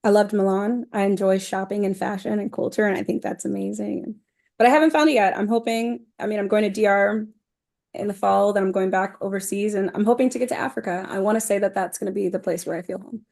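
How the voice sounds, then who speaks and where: Female podcast guest, medium pitch, expressive and frank, relaxed conversational storytelling. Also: fast talker, gravelly. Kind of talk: casual chat, personal anecdotes.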